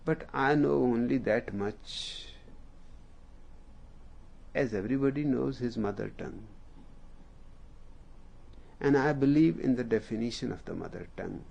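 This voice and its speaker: A spiritual teacher with an Indian accent speaks very slowly, leaving long pauses between short phrases. His voice has a gravelly, fry-laden texture. He is a native Hindi speaker telling a story in a calm discourse. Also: medium pitch, lo-fi sound.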